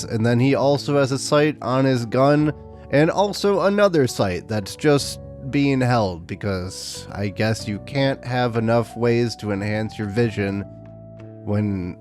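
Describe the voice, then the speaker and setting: A man hosting a tabletop gaming video, his voice a little rough from recent illness, with sarcastic, exasperated commentary and dry jokes. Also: fairly low pitch. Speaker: tabletop wargaming YouTuber. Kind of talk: casual commentary to camera.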